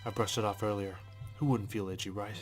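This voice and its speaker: A man reading visual novel text aloud as a let's player, in a measured, understated storytelling manner with brief asides. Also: on the low side, steady tone. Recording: music behind the voice. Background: game music.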